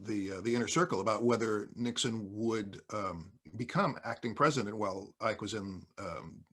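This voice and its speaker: A man with a husky voice on a panel, explaining things informally in a measured way. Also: fairly low pitch, fairly bright tone. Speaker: podcast panelist.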